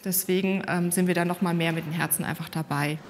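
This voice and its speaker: A woman who supports new parents, talking gently and encouragingly with a new mother on a hospital maternity ward. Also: fairly low pitch, steady tone, fast, a crowd in the background. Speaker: newborn family support worker. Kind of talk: bedside conversation and interview.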